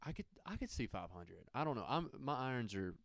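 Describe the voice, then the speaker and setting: A man from Mississippi co-hosting a podcast, chatting casually in a relaxed, rambling way and laughing often. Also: medium pitch, brisk, vocal fry, dry recording, studio quality. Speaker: podcast co-host. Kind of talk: casual chat.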